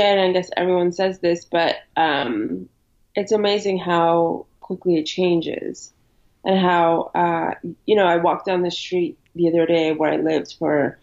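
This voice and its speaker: A woman in her thirties, a podcast guest who grew up in New York, talking in a relaxed way with frequent ums. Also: on the low side. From born in Ukraine, raised in New York. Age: 30s. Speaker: interview guest. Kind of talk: casual interview chat. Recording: dull lo-fi audio.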